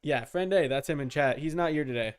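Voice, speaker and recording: A man's voice: a little high, fairly quick, smooth. A man giving casual live gaming commentary as a streamer, informal, with muttered asides and mild swearing. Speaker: video game streamer.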